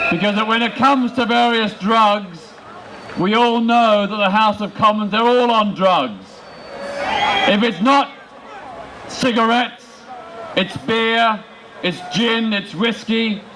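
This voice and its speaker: A man making an emphatic protest speech outdoors, in short, deliberate rhetorical phrases. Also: high-pitched, a bit bright, low-quality recording. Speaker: political campaigner speaking at a rally. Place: large open-air city square rally. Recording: outdoor public address with background noise.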